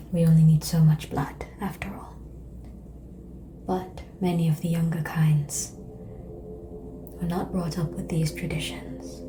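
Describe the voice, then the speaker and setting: A woman playing a calm, seductive ancient vampire in an ASMR roleplay, speaking softly and breathily, often whispering, with formal, measured phrasing. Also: lowish voice. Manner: slow, measured, formal phrasing with long pauses. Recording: clear background noise and ambience.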